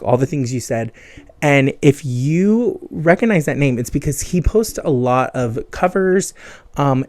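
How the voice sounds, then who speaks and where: A man's voice: medium pitch, darkish tone, no echo. Casual, enthusiastic man hosting, dry close sound. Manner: chatty, enthusiastic, slangy. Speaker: podcast host. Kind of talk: casual music show hosting.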